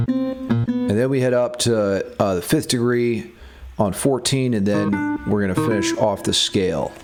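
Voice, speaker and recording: A man's voice: on the low side, a little slow. A man giving a casual music theory lesson as a guitar teacher.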